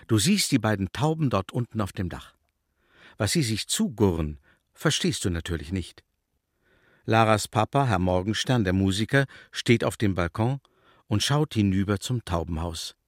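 A man with a lowish voice tells a children's story. His pitch moves in lively swings, and the sound is close and dry. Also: normal speed, no room sound. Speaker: narrator. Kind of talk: scripted storytelling for a children's radio play.

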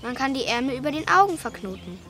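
A woman's voice: high voice, big pitch swings, fast talker, pushed and bright, a little hiss or hum, full character voice. A female performer playing a bossy, playful young girl in a children's radio play. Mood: impatient.

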